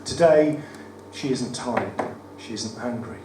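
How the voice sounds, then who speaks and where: A man with a West Midlands accent and a croaky voice, reading his poems at a live event with wry, self-deprecating banter. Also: mid-range voice, slow, recorded from a distance. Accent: West Midlands English. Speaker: performance poet. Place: studio theatre at a live cabaret event. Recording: roomy live venue, mic from afar, audible room noise. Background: audience ambience.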